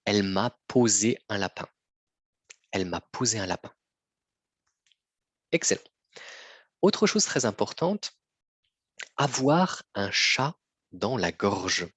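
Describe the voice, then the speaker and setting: A man teaching a slow, patient lesson to learners, repeating phrases and often checking that they follow. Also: lowish voice, sing-song, a bit bright, slightly rough, no room sound. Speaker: French teacher.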